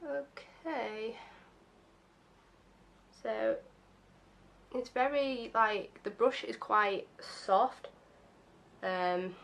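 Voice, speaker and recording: A young woman with a slightly croaky voice, casually chatting through a makeup first-impressions video with lots of ums and self-deprecating asides. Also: mid-range voice, a bit bright. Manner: chatty and self-deprecating, many fillers like um and obviously. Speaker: beauty vlogger.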